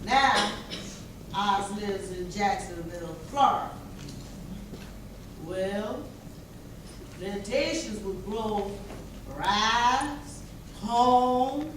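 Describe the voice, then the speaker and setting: A woman performing a readers theater monologue as a plainspoken, elderly formerly enslaved woman, with measured phrasing. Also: on the high side. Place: church hall stage, recorded from afar. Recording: distant, very echoey hall, faint noise.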